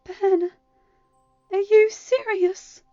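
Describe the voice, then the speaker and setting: A woman's voice: very high-pitched, drawn-out words, darkish tone, no room sound, muffled recording, soft background music, voice pushed up, heavily acted. A woman voicing a playful, affectionate teenage daughter in a storybook, teasing and informal. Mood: shocked.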